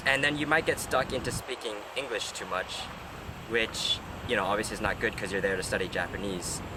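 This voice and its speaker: A man's voice: fairly low pitch, brisk. A young man hosting a casual chat, talking hesitantly with lots of fillers and restarts. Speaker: host. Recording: noisy outdoor street.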